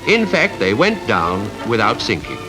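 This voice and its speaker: Male newsreel narrator, British RP accent, 1950s style, formal, measured, animated pitch, music underneath, archive recording. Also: rough recording. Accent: British Received Pronunciation. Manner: formal, measured, rhetorical delivery.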